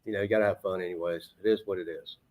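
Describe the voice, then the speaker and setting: A man's voice: deep voice, steady tone, racing, a little mellow. A man chatting casually and informally as host of a sports talk show, rambling as he goes. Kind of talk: casual chat.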